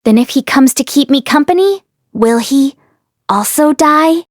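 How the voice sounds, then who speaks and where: A woman's voice: sits high, animated, unhurried, dry recording, studio quality. A woman voicing a timid, kind-hearted young female ghost bride in an audiobook, hesitant and pleading. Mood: hesitant, anxious.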